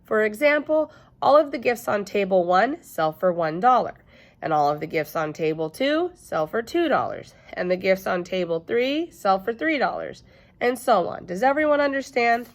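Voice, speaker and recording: A woman's voice: mid-range voice, very animated, normal speed, voice pushed up. A woman voicing a perky, officious school-volunteer mother in a storybook, over-polite and emphatic. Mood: instructive.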